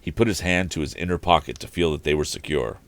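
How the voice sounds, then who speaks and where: A man reading an adventure story aloud in an expressive audiobook style. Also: rumbling low, fast.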